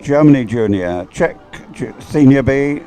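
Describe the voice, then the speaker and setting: A man's voice: medium pitch, expressive, a little slow, mellow, faint music behind. A man giving live commentary as a sports race commentator.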